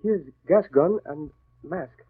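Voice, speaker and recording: An actor playing a loyal, polite valet and driver, speaking deferential, clipped broken English in a husky voice in an old radio adventure. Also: male voice, on the high side, slow pace. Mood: hushed, attentive. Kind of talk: 1940s radio acting. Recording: tinny, narrow-band old radio recording.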